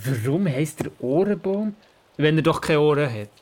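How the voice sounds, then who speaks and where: A man's voice: on the high side, expressive, moderate pace, a little mellow, lightly acted. An actor with a Swiss German accent playing a curious, imaginative grandson who narrates his adventures in a radio play. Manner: inquisitive, childlike storytelling. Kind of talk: scripted first-person radio play narration.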